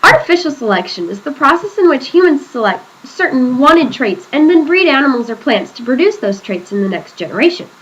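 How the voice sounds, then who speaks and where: A woman's voice: high voice, a bit bright, highs cut off. Teen girl explaining for a school skit. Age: teens. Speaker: student interviewer.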